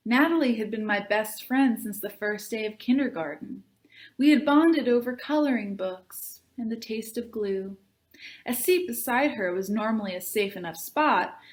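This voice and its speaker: A woman reading aloud to children as a librarian, in an expressive, deliberate storybook style. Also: medium pitch. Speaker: youth services librarian reading aloud to tweens.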